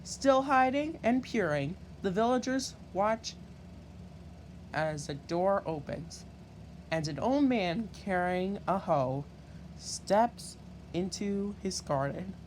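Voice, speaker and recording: A man reading a children's story aloud in an expressive, deliberate way with halting phrasing. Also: high-pitched, slight background noise, poor audio. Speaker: storybook reader.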